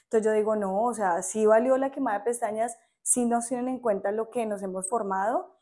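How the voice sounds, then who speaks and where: A young adult woman with a Colombian accent, a teacher chatting as a podcast guest, animated and quick to laugh. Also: on the high side. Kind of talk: casual podcast interview.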